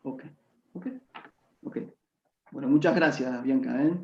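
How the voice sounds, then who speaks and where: Gravelly man moderating online. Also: medium pitch, slow, a little mellow, a little hiss or hum. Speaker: seminar moderator. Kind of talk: informal moderating of a virtual seminar. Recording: echoey, muffled online call audio.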